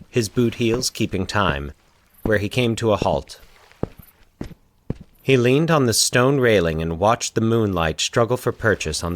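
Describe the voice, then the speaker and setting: A man hosting and narrating a fiction podcast in a deliberate, literary storytelling delivery. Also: lowish voice.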